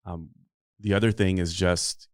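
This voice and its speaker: A man's voice: sits low, level tone, a little slow, dry recording. A middle-aged man speaking as a podcast consultant guest, answering interview questions in an earnest, rambling way with many fillers.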